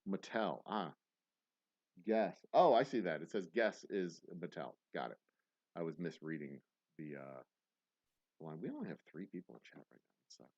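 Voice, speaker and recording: A man's voice: deep voice, expressive, normal speed, pauses often, a little mellow, highs cut off. A man hosting a trivia game night on a livestream, rambling with self-mocking jokes and restarts. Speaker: host reading trivia questions on a livestream. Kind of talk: casual live-stream banter.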